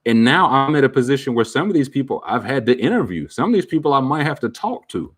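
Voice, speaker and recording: A man around thirty talks casually with his viewers, candid and reflective. His voice sits at a middle pitch, and his speech is loose, with slang and fillers. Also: very animated, fast, a bit bright, slightly rough. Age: about 30. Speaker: streamer answering viewer questions. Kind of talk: casual chat.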